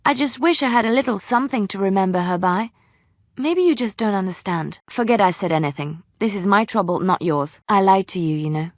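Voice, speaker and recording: An actress playing a wistful female bard and former spy in a video game, with a gentle, measured, storytelling way of speaking. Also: medium pitch, animated, no room sound, studio quality, natural voice. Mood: hurt. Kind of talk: video game character dialogue.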